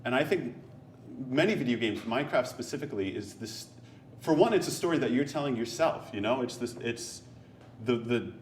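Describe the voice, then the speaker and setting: A man chatting casually as a panelist, explaining his views in a halting, reflective way. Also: mid-range voice, lively intonation. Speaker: panelist on a discussion show. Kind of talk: casual chat. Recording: echoey room with clear noise.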